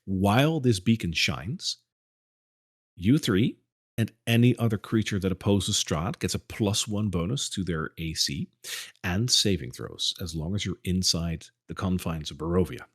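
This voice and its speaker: A man narrating a tabletop game as game master, with descriptive narration and quick asides. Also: sits low, breathy edge.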